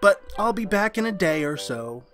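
A woman's voice: medium pitch, sing-song, moderate pace, over music, close to an everyday voice. A woman playing a nervous, henpecked husband in a retro radio drama, confiding hesitantly and getting flustered. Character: nervous, henpecked husband, a university history teacher. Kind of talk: retro 1940s radio drama, first-person narration.

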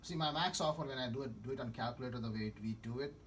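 A man's voice: mid-range voice, fast talker, fairly bright tone, slight background noise. A middle-aged man in a small office, thinking aloud through figures while typing at his computer. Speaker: real estate investor. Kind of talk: casual phone discussion. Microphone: far camera. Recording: distant mic, faint keyboard typing.

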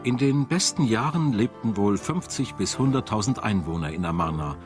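A man's voice: lowish voice, lively intonation, normal speed. A man reading scripted documentary narration in German voice-over style. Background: background music.

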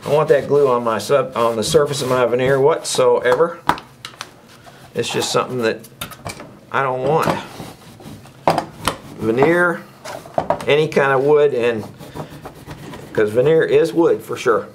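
Older guy teaching at his workbench, folksy n chatty, middling pitch, noisy recording. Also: a little slow, pauses often. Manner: folksy, chatty, asks rhetorical questions. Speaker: woodworking instructor. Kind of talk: casual hands-on demonstration. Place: indoors at a workbench.

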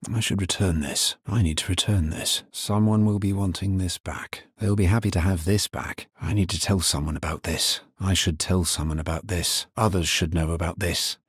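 A grim necromancer from a dark video game, speaking very low and husky in short, measured lines. He sounds grave and dutiful, recorded close and dry with no room around him. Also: steady tone, dry recording. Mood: resolute.

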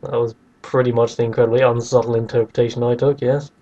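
A man's voice: mid-range voice, little pitch movement, quick pace, warm tone, dull audio. A man co-hosting a podcast, debating casually in a wry, sceptical way. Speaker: podcast co-host.

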